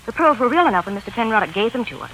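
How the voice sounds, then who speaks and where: Bossy, coaxing fiancée, high bright voice, sweetly impatient, 1940s radio drama. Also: female voice, very animated, very fast, poor audio. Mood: exasperated. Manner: commanding, coaxing. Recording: old 1940s radio broadcast, faint noise.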